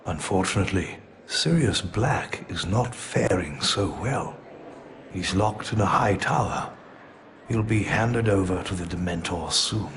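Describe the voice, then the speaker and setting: An older man playing a calm, wise old headmaster in a video game, speaking slowly and gently with soft, breathy phrases. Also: sits low, a little hiss or hum. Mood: grave. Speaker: voice actor. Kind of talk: video game cutscene acting.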